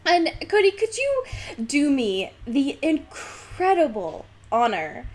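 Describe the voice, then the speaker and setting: A young woman with a fairly high voice co-hosts a casual podcast. She banters in an animated, joking way and often breaks into laughter. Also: takes it slowly. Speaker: podcast co-host.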